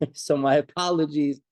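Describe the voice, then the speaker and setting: A middle-aged man with a stuffy, congested voice talks students through exam prep, chatty and reassuring, tossing out rhetorical questions as he goes. His voice sits a bit high for a man but sounds mellow and soft-edged. The recording is close and dry, with no room sound at all. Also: fairly even intonation, measured pace, no echo. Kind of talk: live online class, casual talk to students.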